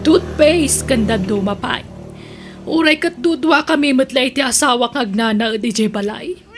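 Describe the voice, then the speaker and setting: A woman's voice: high-pitched, a little hiss or hum. A woman with an Ilocano accent acting in a radio drama as a bossy, suspicious wife who employs a maid. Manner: brisk, commanding, suspicious.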